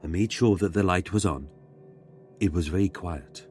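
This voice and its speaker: A man reading a scripted ghost story in a measured, dramatic way, pausing for suspense. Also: deep voice, darkish tone, soft background music. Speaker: guest narrator reading a submitted ghost story.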